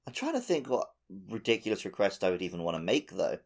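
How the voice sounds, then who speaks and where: A man's voice: on the low side, wildly swooping pitch, quick pace, vocal fry. A man co-hosting a gaming podcast in casual chat, with a dry, joking manner. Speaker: podcast co-host. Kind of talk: casual chat about a video game.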